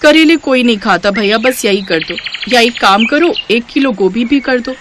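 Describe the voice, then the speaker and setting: A woman's voice: on the high side, lively intonation, normal speed, noisy background, natural voice. A woman narrator voicing a harried, dutiful middle-aged housewife in a family story, earnest and plaintive. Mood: questioning.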